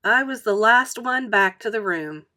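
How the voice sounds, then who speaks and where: A woman storyteller narrating a children's book aloud in an expressive style. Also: on the high side, unhurried, soft background music. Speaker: read-aloud storyteller, teacher.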